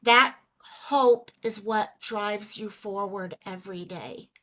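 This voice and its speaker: A woman hosting a casual livestream, chatty and greeting viewers by name, a little hoarse as she is losing her voice. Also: medium pitch, slow pace, bright, forceful tone. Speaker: livestream host.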